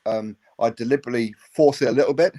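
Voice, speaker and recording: A man's voice: mid-range voice, fast, mellow. A man from Newcastle whose southern English accent carries some Geordie, talking casually and hesitantly as a guest. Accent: southern English mixed with Geordie. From Newcastle, northeast England. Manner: hesitant, many fillers. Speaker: boxing interviewer and guest. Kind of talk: casual chat.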